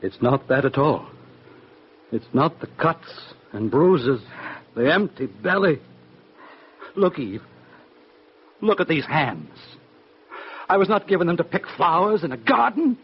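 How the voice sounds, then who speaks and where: Adam, earnest and anguished. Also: male voice, fairly high pitch, big pitch swings, measured pace, pauses often, slightly rough, exaggerated voice. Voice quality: earnest, declamatory. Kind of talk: 1950s radio drama acting. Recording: dull old radio broadcast.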